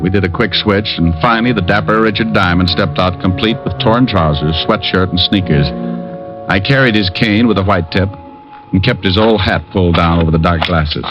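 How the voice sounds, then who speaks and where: Casting: man as wisecracking 1940s radio gumshoe, low register, dry delivery, loud music on old muffled audio. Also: moderate pace, close to an everyday voice. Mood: wry narrating. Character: wry, cocky male private detective. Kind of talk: 1940s radio acting, first-person narration. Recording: dull vintage radio recording, loud music.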